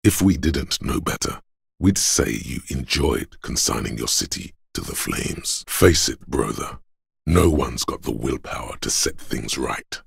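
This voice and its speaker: A man voicing a grim, stoic inner voice of endurance for a game, in a solemn, portentous manner with a husky, gravelly quality. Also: rumbling low, lively intonation, measured pace, no room sound, clean studio sound, heavily acted. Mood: solemn, resolute. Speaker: voice actor. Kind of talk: dramatic game narration, second person.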